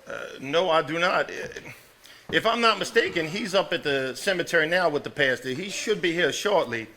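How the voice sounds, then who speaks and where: A man's voice: fairly high pitch, expressive, moderate pace, bright, forceful tone. A man playing a contrary, blunt elder brother from Southern gentry in a stage drama. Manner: argumentative, drawling, blunt. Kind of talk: live stage drama acting.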